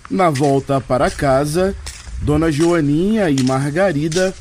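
A man's voice: fairly high pitch, unhurried, a little mellow, a little hiss or hum. A man with a Brazilian accent presenting a radio programme in scripted narration. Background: music.

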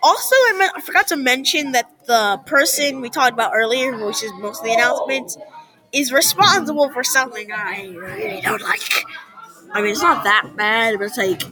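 A woman with a high voice rambles through her opinions like a casual vlogger. She is excitable and quick to get exasperated, breaking into exclamations. Other people chatter busily around her. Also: rough recording. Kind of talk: casual rambling opinion commentary on transit announcements. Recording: busy chattering room with crowd noise. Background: other people's conversation.

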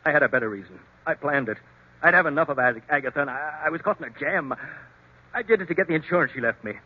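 An actor playing a polite, troubled, well-off older uncle in 1940s radio drama style. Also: male voice, a little high, lively intonation, fast, breathy edge. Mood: anguished, confessing. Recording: muffled, phone-like old radio recording.

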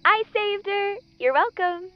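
A woman's voice: very high voice, a little slow, highs cut off. A woman playing a perky, kind-hearted teenage girl, an eager peacemaker among friends, in an audio drama. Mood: perky, surprised.

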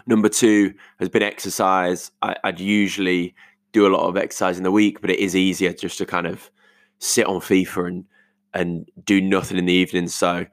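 A young British man with a croaky voice recording a casual, reflective voice note at home, rambling informally as he thinks aloud. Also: low voice, dry recording. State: croaky voice today. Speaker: podcast host. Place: multi-purpose living room at home.

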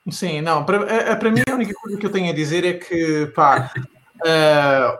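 High-voiced man in halting comedic chat. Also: a bit bright. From Missouri, United States. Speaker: panelist on a comedy news show. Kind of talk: casual comedic chat with English slang mixed in.

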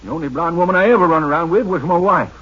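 A man's voice: fairly high pitch, racing, a bit bright, slightly rough. An actor playing a defensive, indignant country father under suspicion, in 1950s radio crime drama style with folksy phrasing. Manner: folksy, protesting, evasive. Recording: dull, lo-fi old radio recording.

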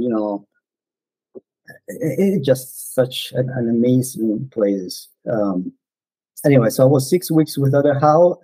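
A man in his forties, a non-native English speaker, casually reminiscing in an interview with frequent pauses and fillers. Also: mid-range voice, dark tone, no echo, low-quality recording. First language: not English. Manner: hesitant, many fillers and restarts. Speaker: podcast guest, former missionary.

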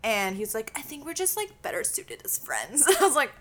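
Woman, twenties, from Canada, casual podcast chat, bright tone, giggly storyteller. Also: sits high, wildly swooping pitch, moderate pace. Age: 20s. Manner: giggly, chatty storyteller with frequent laughs. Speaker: podcast co-host.

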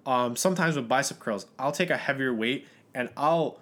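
A man's voice: medium pitch, normal speed, a bit bright. A man hosting a casual fitness podcast, earnestly explaining lifting technique with lots of fillers. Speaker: podcast host.

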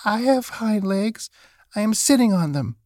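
A small storybook toy rabbit, acted out by a narrator, pitched well above an everyday voice. It is timid but earnest, protesting in a childlike, plaintive way. The sound is close and dry. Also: animated, measured pace, darkish tone, dry recording, close to an everyday voice. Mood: defiant, upset.

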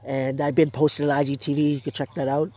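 A man's voice: a little high, flat delivery, quick pace, dark tone, breathy edge. A man hosting his own podcast, talking casually and losing his voice from a sore throat. He rambles, with many fillers and restarts. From Canada. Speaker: podcast host. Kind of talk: casual solo podcast talk. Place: outdoors in his backyard. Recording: music playing behind the voice. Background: intro music playing.

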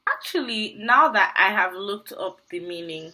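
Girl cohosting a recap podcast, bright clear-cut voice, mid pitch, just chatting. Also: animated, unhurried, low-quality recording. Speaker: podcast co-host. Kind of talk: casual chat recapping TV show.

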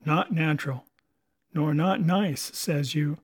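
A man puts on a scheming old pirate cook who talks in gruff, salty sailor speech, hearty on the surface and cunning underneath. The voice is a touch husky and sits a little high. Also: slow pace. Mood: ominous.

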